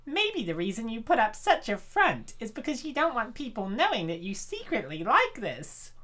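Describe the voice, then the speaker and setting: A woman's voice: fairly high pitch, huge pitch swings, normal speed, a bit bright, heavily acted. A woman voicing a brash young princess in a comic dub, with a teasing, knowing, goading manner.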